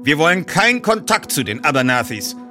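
A stern uncle from an audiobook, acted out by a male reader, curt and insistent in his refusals. His pitch moves in lively swings, and music plays along behind the voice. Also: brisk. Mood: stern, indignant. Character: stern, gruff, bearded uncle refusing firmly. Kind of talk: audiobook dialogue.

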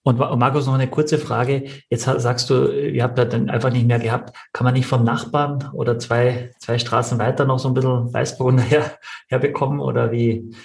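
A man's voice: medium pitch, flat delivery, moderate pace, gravelly, darkish tone. A man co-hosting a casual wine talk, giving opinions in long, discursive chains of clauses. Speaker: podcast co-host, wine connoisseur.

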